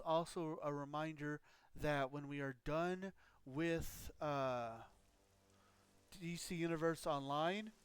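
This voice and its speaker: A man's voice: on the high side, clear, resonant tone. A man live-streaming a game, talking casually with a scratchy throat and rambling explanations full of fillers.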